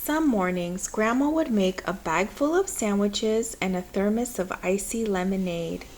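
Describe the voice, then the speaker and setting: A woman reading a children's picture book aloud in a measured, expressive storytelling manner. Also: mid-range voice.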